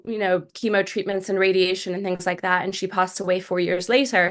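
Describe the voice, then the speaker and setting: A middle-aged woman who grew up in Malawi speaks as a guest on a podcast. She tells personal stories in a conversational way, at an average pitch for a woman. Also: a bit bright. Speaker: podcast guest, nutrition and fitness coach.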